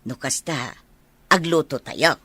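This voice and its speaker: A woman playing a doting, easygoing older village mother in a radio drama, with a husky, expressive voice and an Ilocano accent. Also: low-pitched, measured pace, no echo, full character voice. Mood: warm, teasing.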